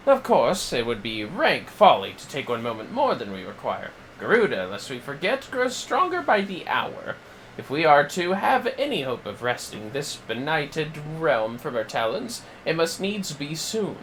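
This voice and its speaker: A man voicing a pompous, eloquent young gentleman scholar from a fantasy game, with florid, theatrical diction. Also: a little high, wildly swooping pitch, moderate pace, slight background noise, low-quality recording. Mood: earnest, declaiming.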